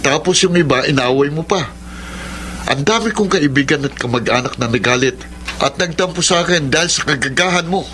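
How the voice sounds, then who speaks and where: A man's voice: on the high side, brisk, a bit bright, a little hiss or hum, close to an everyday voice. An actor playing a weary, exasperated young Filipino man fed up with his jealous girlfriend in a radio drama. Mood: exasperated, arguing. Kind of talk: Filipino radio drama acting and letter narration.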